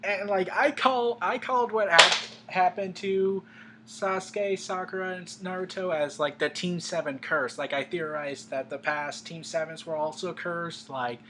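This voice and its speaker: A man's voice: sits high, measured pace. A young man giving firm opinions in a casual anime chat, often restarting his sentences. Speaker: co-host. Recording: faint background hum.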